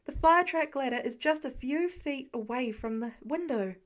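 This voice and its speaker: A woman's voice: high-pitched, animated, normal speed. A woman reading scripted story narration as an audiobook-style narrator. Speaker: podfic narrator.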